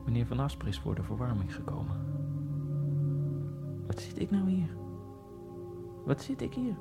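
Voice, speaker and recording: A man narrating a radio drama in the first person, in a halting, fragmented inner monologue with a gravelly voice. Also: medium pitch, huge pitch swings. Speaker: narrator and main character. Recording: radio drama with faint music.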